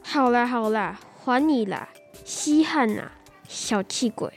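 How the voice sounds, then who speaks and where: A man's voice: very high-pitched, expressive, takes it slowly, over music, full character voice. A young boy playing a teasing, rude schoolboy classmate in a radio drama. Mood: dismissive. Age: child.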